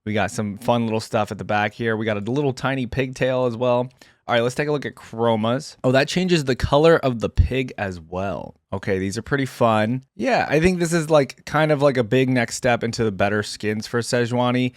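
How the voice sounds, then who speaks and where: A man's voice: medium pitch, normal speed, no echo, clean studio sound. A man giving casual, off-the-cuff reaction commentary as a video host, rambling informally as he thinks aloud.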